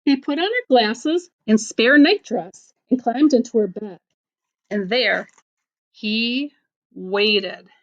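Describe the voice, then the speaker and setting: A woman teacher reading a storybook aloud to children, in simple phrases with deliberate pauses. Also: a little high, highs cut off. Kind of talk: storybook read-aloud with explanatory asides.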